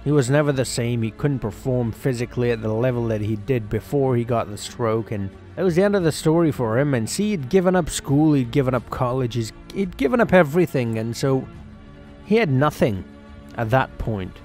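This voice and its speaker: A man playing an excitable, earnest young schoolboy cricketer who tells his story conversationally, with emphatic pauses, in a dramatised game story. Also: medium pitch, faint music behind, natural voice. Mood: earnest, reflective. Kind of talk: first-person dramatised narration over game cutscenes.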